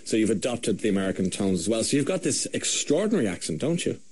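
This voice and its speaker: A man's voice: on the low side, big pitch swings, fast, a little mellow, slight background noise. An Irish man hosting a radio interview in the studio, asking teasing, leading questions. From Ireland. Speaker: radio host.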